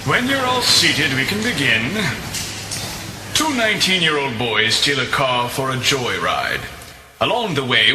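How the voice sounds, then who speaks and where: An actor playing a stern, pedantic law professor, lecturing formally in a comic-book drama. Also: male voice, mid-range voice, bright, forceful tone, a little hiss or hum, heavily acted. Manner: formal, didactic. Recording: echoey voice with faint music. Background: faint music.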